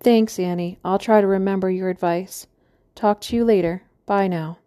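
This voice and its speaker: Weary middle-aged man voiced by a woman, dry humor, evasive, mellow, dry close sound. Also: mid-range voice, fairly even intonation, moderate pace, smooth, no room sound, natural voice. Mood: wry.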